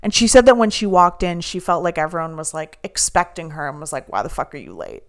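Animated, loud-voiced woman co-host. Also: sits low, fast talker. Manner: loud, animated, swears a lot. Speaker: podcast co-host. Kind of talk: casual restaurant review chat.

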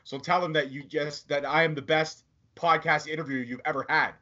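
A man's voice: on the high side, steady tone, a little fast, bright, forceful tone. A man hosting a podcast interview, upbeat and joking as he asks his questions. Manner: upbeat, joking, self-promoting. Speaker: podcast host. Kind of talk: casual interview chat. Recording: dull lo-fi audio.

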